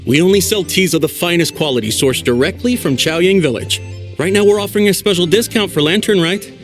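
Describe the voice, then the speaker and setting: A man voicing a fawning, smooth-talking tea merchant with a sales patter that slips into stammering, with faint music behind. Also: sits high, fairly quick, close to an everyday voice. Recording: game audio with faint music.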